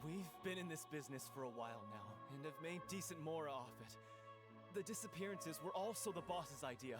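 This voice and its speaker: An actor playing a nervous, cornered guard in a video game, hesitant and anxious. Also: male voice, a little high, expressive, brisk, natural voice. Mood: nervous, pleading. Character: nervous cornered guard confessing his crimes. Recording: game music clearly behind.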